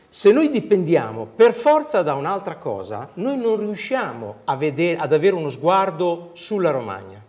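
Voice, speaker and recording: A man's voice: on the high side, darkish tone. A man in his sixties giving his opinion as a guest on a studio panel. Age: 60s. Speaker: trade association president, panel guest. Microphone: lapel mic.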